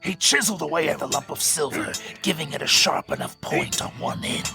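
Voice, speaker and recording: A man narrating a scripted story in a suspenseful, theatrical way, with a gravelly, breathy voice. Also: fairly high pitch, brisk, over music.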